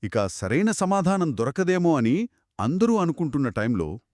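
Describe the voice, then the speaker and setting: A man co-narrating a children's moral story in an expressive, conversational way. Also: on the high side, normal speed, no room sound, clean studio sound. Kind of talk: conversational two-person storytelling.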